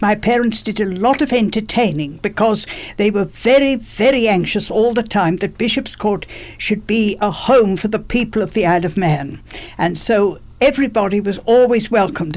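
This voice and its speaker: An elderly woman speaks at a middling pitch for a woman. She is reminiscing as a guest in a radio interview. She repeats phrases to press a point home. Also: a little mellow. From England, born in London. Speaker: interviewee, former politician.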